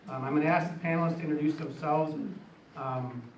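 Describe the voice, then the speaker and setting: A man's voice: on the high side, fairly even intonation, fairly quick, a little hiss or hum. A man moderating a panel discussion, speaking with hesitations and fillers. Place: event hall. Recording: echoey room, far from mic.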